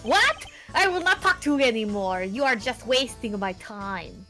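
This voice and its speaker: A woman plays an impatient, pompous older gentleman in a game, barking at the accused with a put-on foreign accent that drifts from line to line. The voice sits bright and forceful, lurching between low grumbles and sudden high outbursts. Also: lively intonation, normal speed, soft background music. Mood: irritated, blustering. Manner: blustering, shifting put-on European accent.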